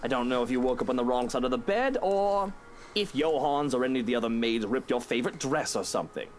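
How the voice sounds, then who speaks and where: An actor playing a haughty, condescending young gentleman in a visual novel, scolding like an exasperated husband. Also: mid-range voice, animated, quick pace, a little hiss or hum, lightly acted.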